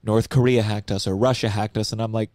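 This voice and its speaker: Chill guy host asking questions, regular pitch, dry close mic. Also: steady tone, brisk, a little mellow, dry recording. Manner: curious, easygoing questioner. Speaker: podcast host. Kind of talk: casual interview chat. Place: small living room podcast set. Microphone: handheld mic, headphones.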